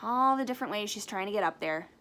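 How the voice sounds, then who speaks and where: A woman's voice: medium pitch, lively intonation, fast, bright, forceful tone, a little hiss or hum. A woman reading a children's storybook aloud expressively, with casual asides. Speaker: storybook reader.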